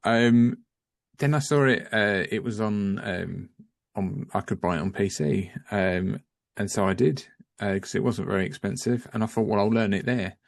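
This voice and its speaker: A man with a low, gravelly voice chats as a guest over a video call. He rambles and restarts his sentences, and he sometimes apologizes for it. The sound is dry and close. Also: normal speed, dry recording. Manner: rambling, self-interrupting, apologetic. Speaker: guest, home-educating parent. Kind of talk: casual video call chat.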